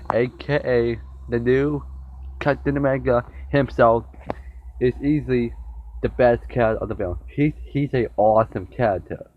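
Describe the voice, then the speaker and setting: Rambling young man with a sore throat. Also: medium pitch. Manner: rambling, repeats and restarts words. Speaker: TV show reviewer. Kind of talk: casual fan review vlog. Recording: dull lo-fi with faint noise.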